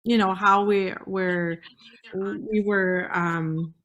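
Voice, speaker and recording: A woman's voice: mid-range voice, steady tone, extremely slow, a bit bright, lo-fi sound. A woman from Canada with a French-Canadian accent, a native French speaker explaining casually as a health specialist guest, sometimes searching for words. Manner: hesitant, searching for words in second language. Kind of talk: casual explanatory interview talk.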